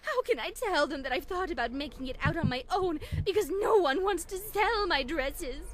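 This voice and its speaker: A woman voicing a fragile, despairing young pony dressmaker, melodramatic and pleading, in a dramatic fan fiction reading. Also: extremely high pitch.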